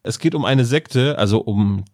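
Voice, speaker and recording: Man, mid-pitched voice, playful chuckling podcast co-host, dry close sound. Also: animated, normal speed, a little mellow, no echo. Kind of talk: casual chat reviewing an audio drama.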